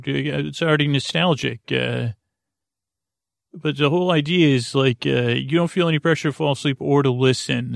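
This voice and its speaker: Rambling, gravelly-voiced man. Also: medium pitch, no room sound, clean studio sound. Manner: meandering, stammering, silly. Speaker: sleep podcast host. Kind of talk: rambling bedtime monologue.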